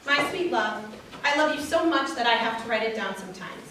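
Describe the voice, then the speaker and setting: A woman's voice: a little high, animated, brisk, bright, forceful tone. A woman reading a love letter aloud as a performer at a wedding. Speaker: reader at a wedding reader's theater. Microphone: distant from speaker. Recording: echoey room, distant mic, faint noise.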